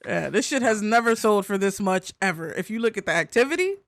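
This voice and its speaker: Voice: medium pitch, lively intonation, a little fast, no echo. Casual sweary podcast voice, close dry sound, no room on it. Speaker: podcast panelist. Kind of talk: casual profane banter.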